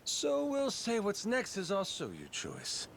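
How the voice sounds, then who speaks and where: An actor playing a cocky, wild middle-aged pirate captain in a video game cutscene, slangy and taunting. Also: male voice, sits high, wildly swooping pitch, unhurried, gravelly, a bit bright, a little hiss or hum, full character voice. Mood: teasing.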